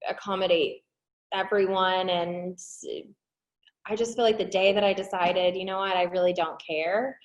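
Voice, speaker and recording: A woman's voice: lowish voice, fairly even intonation, normal speed, lo-fi sound. A woman from the United States answering as an interview guest in a candid, earnest, casual conversational way. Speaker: interview guest, wedding planner.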